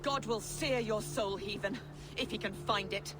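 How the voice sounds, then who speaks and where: A woman's voice: mid-range voice, measured pace, natural voice. A woman playing a defiant Saxon queen over music. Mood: defiant, angry. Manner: scornful, demanding. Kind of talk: dramatic video game acting. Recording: game music clearly underneath.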